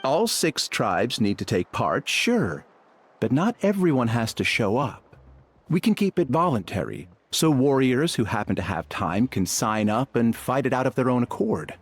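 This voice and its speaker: A man's voice: medium pitch, very animated, moderate pace, breathy edge. An actor playing a reasonable, persuasive male tribe chief in a video game.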